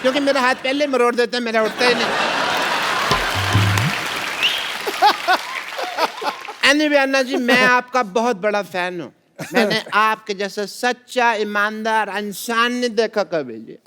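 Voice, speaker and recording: A man's voice: extremely high pitch, normal speed. An actor playing a bumbling, boastful comic doctor in a live TV sketch, theatrical and mixing English phrases into his talk. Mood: flattering, boastful. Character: bumbling, boastful comic male doctor, self-styled social worker. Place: TV studio stage with live audience. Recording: noisy studio with loud audience.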